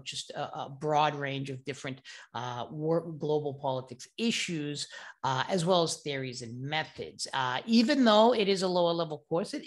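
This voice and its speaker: A man's voice: on the high side, fairly bright tone. A middle-aged man with a Boston accent, a university professor giving an enthusiastic, rambling introduction to his course. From Boston, USA. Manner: enthusiastic, chatty and digressive, wandering off-topic. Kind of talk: casual course introduction video.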